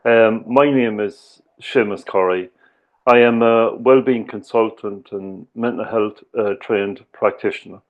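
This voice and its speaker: A man with an Irish accent giving a talk as a slow, measured storyteller, often pausing on "uh" and "um". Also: lowish voice, fairly even intonation, narrow, tinny audio. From Ireland. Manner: measured, with frequent "uh" and "um". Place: lives in the UK.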